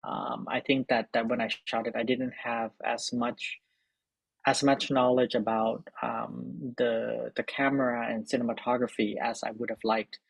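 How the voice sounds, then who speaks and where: Man from Thailand, middle-aged, interview guest, halting speech, dry close recording. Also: medium pitch, level tone, vocal fry, breathy edge, dry recording, rough recording. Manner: halting, many repeated words and fillers. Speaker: film editor and director, interview guest. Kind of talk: thoughtful interview answers.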